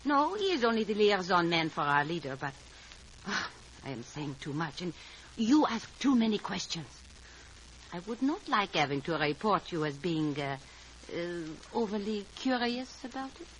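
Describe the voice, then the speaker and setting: An actress playing a stern young Communist agent with a halting, coolly warning manner in a 1950s radio drama. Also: female voice, fairly low pitch, big pitch swings. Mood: guarded. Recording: faintly noisy vintage radio recording.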